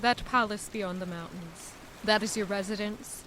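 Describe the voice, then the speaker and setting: A woman's voice: mid-range voice, moderate pace, fairly bright tone, close to an everyday voice, rough recording. Cold, terse warrior goddess played by a woman. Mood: cold, probing. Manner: terse, blunt questioning. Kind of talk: audio drama dialogue. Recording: faint noise under rain and thunder. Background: rain and thunder ambience.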